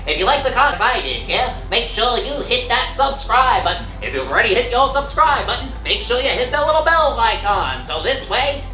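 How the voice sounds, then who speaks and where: A man's voice: sits high, bright, forceful tone, roomy sound. A man reading a scripted promo as the producer of an audio channel.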